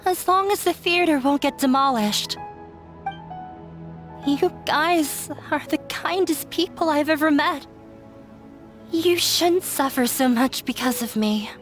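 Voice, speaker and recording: A woman's voice: high-pitched, slow, long pauses, soft background music. An actress playing a dejected, self-sacrificing young woman in an anime game dub, confiding in a sorrowful, resigned way. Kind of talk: English-dubbed anime game voice acting.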